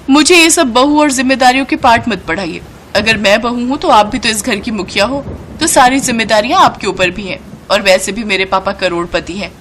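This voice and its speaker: A woman plays a vain young daughter-in-law in a cartoon moral story. She is haughty and spoiled, talking down with mockery, pitched above the narrator's voice, with faint noise behind. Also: a bit bright. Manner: mocking, self-important.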